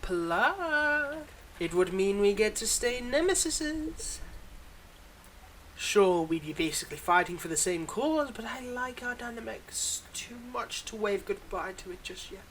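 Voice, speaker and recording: A man's voice: high voice, lively intonation, a little slow, fairly bright tone, rough recording. Guy acting as a wry teasing supervillain who's secretly kind, chatty audio roleplay, bit of noise in the background. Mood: teasing, fond. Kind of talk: roleplay audio acting, intimate monologue to listener.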